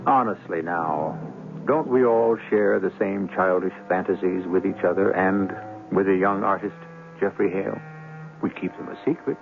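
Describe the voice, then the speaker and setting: Deep, gravelly male radio mystery host. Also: moderate pace. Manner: ominous, confiding. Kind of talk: dramatic scripted narration, 1970s radio. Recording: lo-fi old radio broadcast. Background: loud dramatic music.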